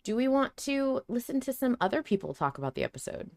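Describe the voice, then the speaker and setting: A woman's voice: a little high, sing-song, fast talker, creaky, a little mellow, no room sound. A woman hosting a podcast, talking casually and analytically, reflective as she thinks aloud. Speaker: podcast host.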